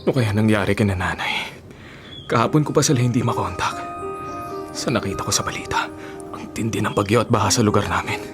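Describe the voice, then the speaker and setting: An actor playing a concerned, reassuring elder brother in a Filipino radio drama. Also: male voice, on the low side, brisk, pauses often, close to an everyday voice. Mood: worried. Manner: concerned, questioning. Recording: music behind the dialogue.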